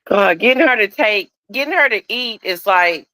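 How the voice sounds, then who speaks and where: A woman's voice: medium pitch, expressive, moderate pace, sharp tone, no room sound, rough recording. A woman chatting casually as a guest on an online panel. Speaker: late-joining panel guest. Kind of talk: casual chat.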